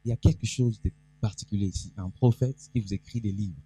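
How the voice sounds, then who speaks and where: A man's voice: fairly low pitch, fairly even intonation, quick pace, dark tone, husky, dry recording, rough recording. A man co-hosting a reading event, bantering and joking with the moderator. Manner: joking, teasing. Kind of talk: playful stage banter.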